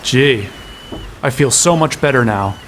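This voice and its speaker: A man's voice: medium pitch, animated, measured pace, a bit bright, a little hiss or hum. An actor playing an exasperated, sarcastic young hero who narrates his own quest in a fantasy audio drama. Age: young adult. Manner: wry, exasperated. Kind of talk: first-person audio drama narration and dialogue.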